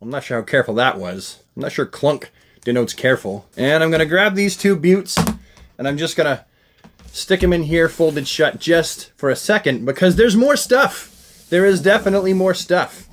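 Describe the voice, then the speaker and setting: A middle-aged man hosting a casual, enthusiastic unboxing vlog, talking in an animated, exclaiming way. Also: a little high, normal speed, a little hiss or hum. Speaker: unboxing review host. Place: small indoor room lined with shelves of collectibles.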